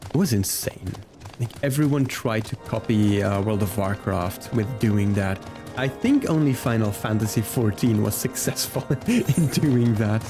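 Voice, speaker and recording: A man's voice: lowish voice, animated, measured pace. A man giving casual gameplay commentary and chatting to viewers as a livestreamer, with music behind him. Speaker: game livestreamer.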